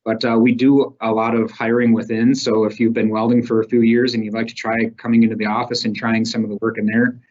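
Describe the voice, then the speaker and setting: A man walks through company slides as a recruiter, in a plain, matter-of-fact way. His voice sits at an ordinary middle pitch. It comes over a muffled video call. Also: little pitch movement, fairly quick, few pauses, a little mellow. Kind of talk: slide presentation.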